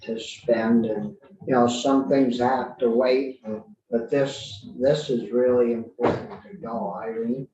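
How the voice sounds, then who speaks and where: A man's voice: medium pitch, steady tone, slow, dark tone. A man speaking hesitantly with many fillers, testifying before a committee. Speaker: advocate asking for public support. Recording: distant, echoey, muffled room audio.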